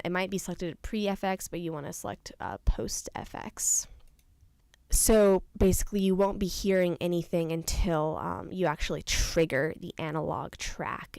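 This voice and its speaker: A young adult woman presenting an informal software tutorial, explaining things casually step by step. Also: lowish voice, gravelly, no room sound, studio quality. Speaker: tutorial presenter, studio intern.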